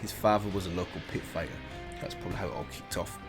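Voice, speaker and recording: A man's voice: low voice, fairly even intonation, quick pace, over music. A man hosting a reaction video, reading a story aloud in a casual way with slips and self-corrections, remarking on it as he goes.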